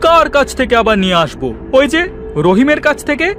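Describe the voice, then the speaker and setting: A man's voice: extremely high pitch, sing-song, a little fast, fairly bright tone, full character voice. A man voicing a touchy, complaining market customer in a Bengali cartoon, indignant and querulous, over music. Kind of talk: Bengali cartoon folktale voice acting. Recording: music behind the voice.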